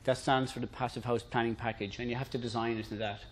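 A middle-aged Irish man presents an informal lecture. His voice sits at an average pitch, and he talks conversationally with light, dry humor, explaining ideas plainly to the room. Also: flat delivery, fast talker. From Ireland. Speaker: presenter introducing a technical concept.

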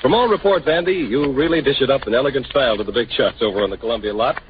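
An American man announcing and interviewing in the style of a 1930s radio sponsor pitch. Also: quick pace, slight background noise. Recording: tinny band-limited 1930s radio broadcast, music.

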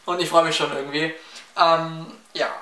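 Swabian teen boy, bright and echoey. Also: fairly high pitch, little pitch movement, moderate pace. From Baden-Württemberg, near Stuttgart. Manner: chatty, rambling, many fillers and restarts. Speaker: YouTuber answering viewer questions. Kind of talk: casual Q&A vlog. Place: small room in front of window blinds, indoors. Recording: echoey room, slightly distant mic.

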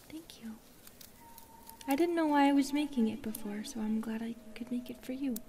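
A woman voicing a shy, lonely, gentle young forest spirit in soft, hesitant whispers, as ASMR roleplay. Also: a little high, slight background noise, close to an everyday voice. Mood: shy, grateful.

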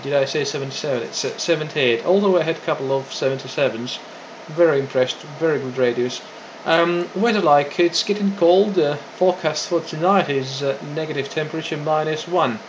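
Male ham radio operator, fairly high voice, procedural and a bit hesitant, faintly noisy recording. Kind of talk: ham radio contact exchange. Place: indoors beside a radio set. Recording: dull lo-fi audio with faint noise.

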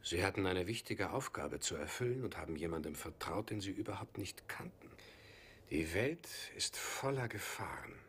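A worldly, skeptical questioner in a dubbed film. His voice is low, and his questions are pointed and faintly sarcastic. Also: animated, moderate pace, fairly bright tone, a little hiss or hum. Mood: probing.

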